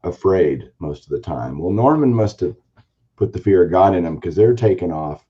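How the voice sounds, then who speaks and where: A man hosting a casual card-collecting chat show, telling a long story in a rambling, animated way with a hoarse, raspy voice. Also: deep voice, moderate pace, dull audio. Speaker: podcast host, baseball card collector.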